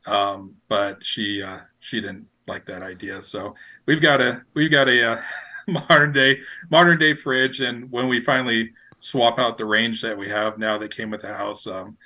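A man's voice: lowish voice, steady tone, a little slow, pushed and bright, poor audio. A man chatting casually as a radio station operator in an interview, rambling and repeating his phrases as he feels for words. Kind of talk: casual podcast interview chat.

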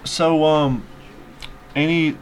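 A man's voice: a little high, fairly even intonation, extremely slow, slight background noise. A man interviewing two actors, giving long praise and rambling, talkative questions.

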